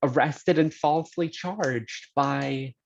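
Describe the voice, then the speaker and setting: A poet speaking reflectively on a video-call panel in a measured, articulate, thoughtful manner. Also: mid-range voice, creaky, a little hiss or hum. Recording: slightly dull video call audio.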